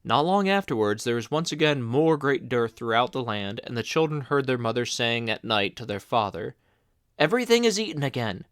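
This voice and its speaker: A man narrating a classic fairy tale in a steady, clear storybook reading. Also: medium pitch, moderate pace, few pauses, no room sound, studio quality. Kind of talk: fairy tale read-aloud.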